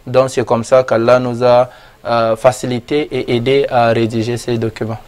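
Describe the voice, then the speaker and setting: A man who counts Arabic as his first language and is from Cameroon, answering interview questions in a measured, devout way with Arabic expressions. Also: medium pitch, level tone, a little mellow, clear, resonant tone. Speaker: imam and author, interview guest.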